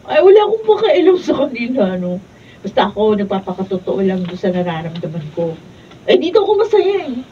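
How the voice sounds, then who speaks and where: A woman's voice: mid-range voice, big pitch swings, brisk, lightly acted. A woman playing a cheerful, stubborn, lovestruck twenty-year-old in a Filipino radio drama. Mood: eager, sweet. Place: radio drama recording session, filmed raw. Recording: muffled, distant mic, faint noise.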